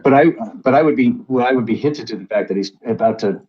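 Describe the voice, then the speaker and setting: A man's voice: medium pitch, quick pace. A man playing a tabletop game, talking hesitantly and restarting his sentences. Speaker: player. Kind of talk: casual tabletop game chat. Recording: dull lo-fi sound.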